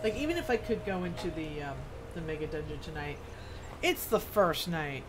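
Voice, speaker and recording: A woman's voice: sits low, lively intonation, normal speed, background noise. A woman streamer giving casual, chatty live commentary on a video game, reacting aloud and reading bits of quest text.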